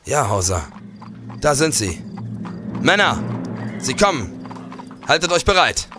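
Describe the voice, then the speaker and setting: An actor playing a resolute, shrewd nineteenth-century police detective in a crime audio drama. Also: male voice, on the high side, very animated, over music. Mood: hushed urgency. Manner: assured, deliberate.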